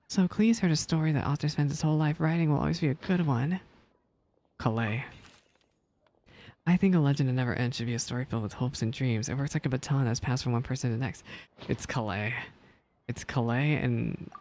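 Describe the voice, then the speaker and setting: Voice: mid-range voice, brisk, a little mellow. A video game streamer reading game text aloud and reacting with emotional commentary. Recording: game music behind the voice. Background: video game music.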